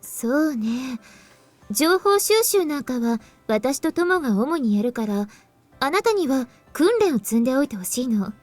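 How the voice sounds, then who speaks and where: A woman's voice: high voice, very animated, fairly quick, soft background music. An actress voicing a businesslike young female team organizer in a visual novel, explaining matter-of-factly. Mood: brisk, explaining. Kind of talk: Japanese visual novel voice acting.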